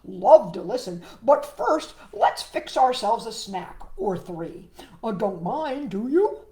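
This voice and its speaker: A woman voicing a cheerful, pushy male frog in a storybook, with booming, drawn-out exclamations. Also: sing-song, dark tone, echoey room. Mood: eager, pushy.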